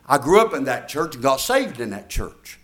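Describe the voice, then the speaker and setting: A man's voice: mid-range voice, very animated, moderate pace, fairly bright tone. A man, preaching a folksy, emphatic sermon and drawing responses from his congregation. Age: 70s. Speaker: pastor. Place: church. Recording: echoey church room.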